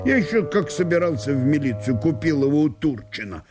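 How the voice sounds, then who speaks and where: Radio play: male actor as obstinate, huffy country landowner, highly animated delivery, music underneath. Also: brisk. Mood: stubborn, defensive. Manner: blunt, indignant, repetitive. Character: stubborn, grumbling, indignant small-town landowner, Ivan Nikiforovich. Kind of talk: radio literary solo performance.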